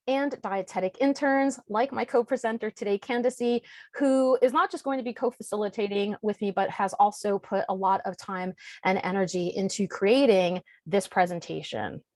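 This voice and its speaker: A woman's voice: on the high side, no echo. A woman dietitian hosting a webinar, presenting in an organized, scripted way over a video call. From United States. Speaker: registered dietitian and webinar host.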